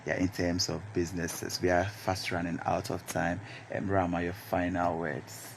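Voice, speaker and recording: A man's voice: low voice, monotone, moderate pace, creaky, slight background noise. A young man with a Ghanaian accent hosting a panel discussion in a radio studio. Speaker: radio program host.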